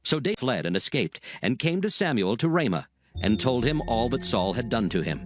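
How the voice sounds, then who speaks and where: A man's voice: medium pitch, big pitch swings, fairly quick. A man narrating a dramatized scripture reading in solemn, formal archaic phrasing.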